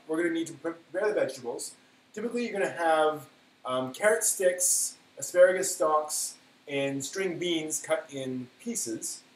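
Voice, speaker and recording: A man hosting a casual, unscripted cooking live stream, thinking aloud in a hesitant way and trailing off as he works. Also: fairly high pitch. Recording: roomy echo, background noise.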